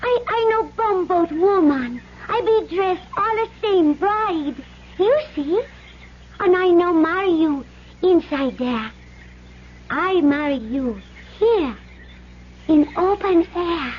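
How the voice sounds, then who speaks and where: An actress playing a proud, gentle young Polynesian island woman who speaks halting broken English in a radio drama. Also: very high voice, lively intonation, heavily acted. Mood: pleading, dignified. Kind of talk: old radio drama acting. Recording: muffled old radio, noisy background.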